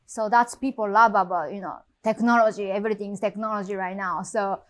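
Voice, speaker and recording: Brief: Japanese-born woman, thirties, real estate agent, non-native English, mid-pitched, hesitant and earnest. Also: steady tone. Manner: halting non-native English with frequent fillers. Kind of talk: interview conversation.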